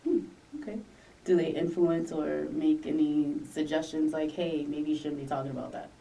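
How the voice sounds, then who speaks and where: A woman hosting a podcast, chatty and quick to laugh as she interviews a guest. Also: deep voice, steady tone, creaky, dark tone, recorded from a distance, slight background noise, low-quality recording. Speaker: podcast host. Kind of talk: casual interview chat.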